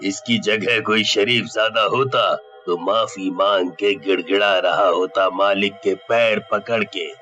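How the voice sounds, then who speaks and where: A man voicing a scornful, gloating devil in a village bully's body for a cartoon story, with a menacing, drawn-out delivery. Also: fairly low pitch, steady tone, bright, forceful tone, lo-fi sound, faint music behind. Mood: scornful, scheming. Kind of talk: Hindi cartoon story acting.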